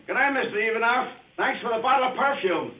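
A man's voice: very high-pitched, expressive, quick pace, dull audio. An actor playing a cheerful young doorman on an old radio drama. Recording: distant, echoey, noisy old radio.

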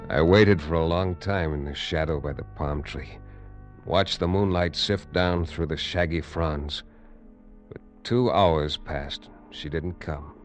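An actor playing a hard-boiled American adventurer who narrates wryly in first person in a 1940s radio drama. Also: male voice, a bass voice, slow pace, faint music behind. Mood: brooding. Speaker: narrator and lead.

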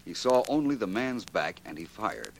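An actor playing a composed, analytical detective in a 1940s radio drama, with measured, assured delivery. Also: male voice, on the low side, wildly swooping pitch, vocal fry, exaggerated voice. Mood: assured explaining. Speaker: lead actor in a detective series. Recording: noisy old radio recording with hum.

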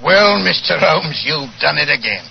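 Jovial inspector, muffled old radio. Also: male voice, a little high, very animated, moderate pace, vocal fry, exaggerated voice. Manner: chummy, jocular. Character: jovial, chuckling police inspector fond of puns. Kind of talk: 1940s radio drama acting. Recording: muffled noisy 1940s radio recording.

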